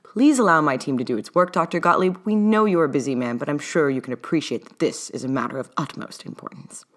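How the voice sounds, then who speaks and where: A woman voicing a diplomatic male fashion photographer in an audiobook, measured, patient and condescending. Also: sits low, animated.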